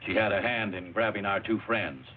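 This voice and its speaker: An actor playing a businesslike, agreeable police officer in a film noir crime drama. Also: male voice, lowish voice, normal speed, lightly acted. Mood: matter-of-fact. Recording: tinny narrow-band old recording, faint noise.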